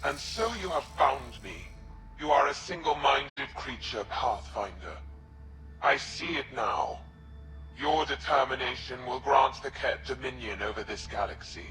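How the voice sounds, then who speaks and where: An actor playing a calculating alien commander in a video game, coldly imperious and formal in a measured delivery. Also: male voice, medium pitch, lively intonation, fairly bright tone, rough recording. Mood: cold, accusing. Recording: game music behind the voice.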